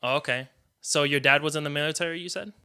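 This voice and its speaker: Man, American, interviewer, bright forward tone, smooth clear voice, informal, conversational. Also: fairly high pitch, steady tone, fast talker. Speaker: interviewer, host. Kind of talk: casual interview chat.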